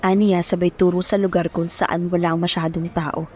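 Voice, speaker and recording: A woman's voice: on the low side, little pitch movement, normal speed, darkish tone. A young woman narrating an audio romance serial in the first person, as a dramatic storyteller. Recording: music bed under the voice.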